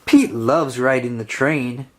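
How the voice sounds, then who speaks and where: A woman reading a children's picture book aloud in a gentle storytelling way. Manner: slow, gentle storytelling with lively pitch. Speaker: storybook reader.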